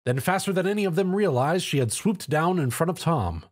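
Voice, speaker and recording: A man's voice: a little high, animated, a little fast. A man narrating a scripted story in a dramatic storytelling style.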